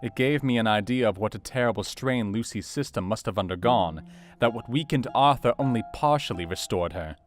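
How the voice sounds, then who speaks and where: Man acting Victorian doctor diarist, gothic audio drama, low pitch, serious, measured, literary, soft guitar backing. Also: animated, close to an everyday voice. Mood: grave, anxious. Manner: measured, formal, literary delivery. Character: serious, anxious Victorian doctor narrating his diary. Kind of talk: dramatised first-person literary narration. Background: faint plucked guitar music.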